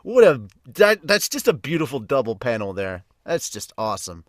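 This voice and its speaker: A man's voice: mid-range voice, very animated, normal speed, no echo, clean studio sound. A man giving a casual, rambling, enthusiastic review as a podcast host. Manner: rambling, enthusiastic fan talk. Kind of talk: casual live reaction and review.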